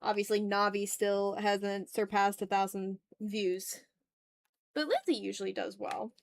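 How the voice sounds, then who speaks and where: A woman's voice: mid-range voice, steady tone, measured pace, creaky, a little hiss or hum. A woman hosting a livestream, chatting informally and expressively straight to her viewers. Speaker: art livestream host. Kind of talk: casual livestream chat, reading and answering viewer messages.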